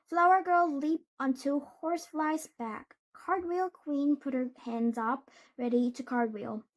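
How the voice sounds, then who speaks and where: A woman reading a children's storybook aloud in a deliberate way, with occasional stumbles. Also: sits high. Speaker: storybook reader.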